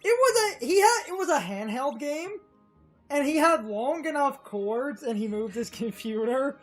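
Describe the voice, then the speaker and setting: A woman's voice: sits high, lively intonation, moderate pace, faint music behind. A woman chatting casually as a co-commentator on a video game let's play. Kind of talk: casual gaming chat.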